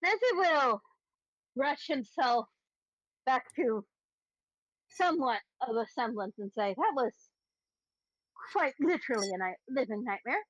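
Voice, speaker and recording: A woman role-playing in a tabletop game, speaking in a halting, deliberate way as she voices and narrates her character. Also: high voice, animated, no room sound. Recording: dull lo-fi dry voice recording.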